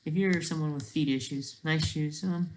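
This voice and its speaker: Creaky gravelly voice, medium pitch, casual video commentary, rambling, digressive, thinking aloud. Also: fairly even intonation, moderate pace, a little hiss or hum. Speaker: video creator.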